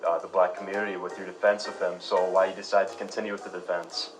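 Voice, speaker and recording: A man's voice: low-pitched, flat delivery, fast talker, natural voice, poor audio. A man playing a polite, curious radio show host in an acted interview, conversational and prompting. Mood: curious, probing.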